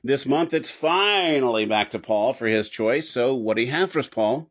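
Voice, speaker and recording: A man's voice: mid-range voice, expressive, moderate pace, fairly bright tone, lo-fi sound. A man hosting a podcast, reading a scripted introduction. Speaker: podcast host.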